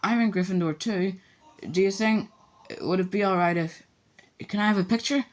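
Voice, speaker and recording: A man's voice: sits high, moderate pace, voice pushed up, lightly acted. A man reading an audiobook, voicing a small, eager schoolboy who talks breathlessly and in a rush. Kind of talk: audiobook character voice.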